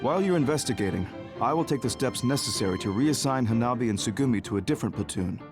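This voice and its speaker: A man voicing a composed, authoritative major general in a video game, speaking formally and in a measured, businesslike way. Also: medium pitch, expressive, natural voice. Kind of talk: video game cutscene acting. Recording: background music under the voice.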